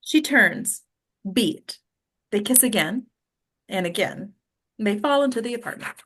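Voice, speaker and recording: Mid-pitched woman reading stage directions. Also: animated, a little slow, a bit bright, slightly rough. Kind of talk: scripted narration at a table read.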